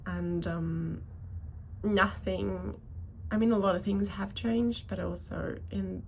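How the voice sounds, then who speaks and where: A woman's voice: mid-range voice, fairly even intonation, takes it slowly, creaky, a little mellow, slight background noise. A woman in her thirties giving an unscripted personal vlog monologue, speaking hesitantly and often circling back to correct herself. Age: 30s. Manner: hesitant, rambling, self-correcting. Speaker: video creator talking to viewers.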